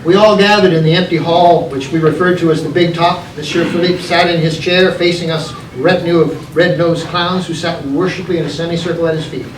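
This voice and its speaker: A man reading his own story aloud in a theatrical, deliberate way, with dramatic pauses. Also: a little high, fairly even intonation. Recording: echoey, noisy room, distant mic.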